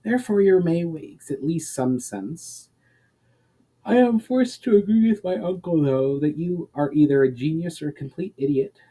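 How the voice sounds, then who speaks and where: A candid noblewoman from a fantasy storybook, as a man reads her. The speech is formal and deliberate, with a mellow tone and frank judgement. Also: on the high side, animated, husky, voice pushed up, rough recording. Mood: cool, candid. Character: candid, measured noblewoman adviser in a fantasy tale.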